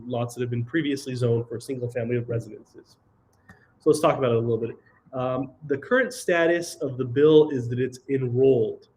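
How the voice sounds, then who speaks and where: A man with a slight cold and a nasal voice, giving an online slide presentation over a video call, halting with ums, restarts and throat clearing. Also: mid-range voice, darkish tone, low-quality recording. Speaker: presenter of a housing webinar.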